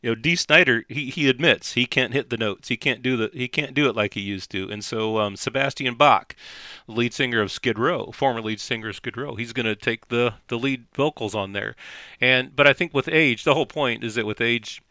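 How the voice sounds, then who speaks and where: A man's voice: mid-range voice, brisk, sharp tone, no echo, clean studio sound. A middle-aged man hosting a podcast in casual chat, rambling and chuckling as he talks. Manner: rambling, chuckling, self-correcting. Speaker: podcast host.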